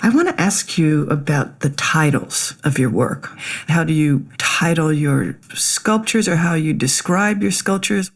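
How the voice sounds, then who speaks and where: An interviewer asking brief, quiet questions about an artist's work. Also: mid-range voice, expressive, a little slow.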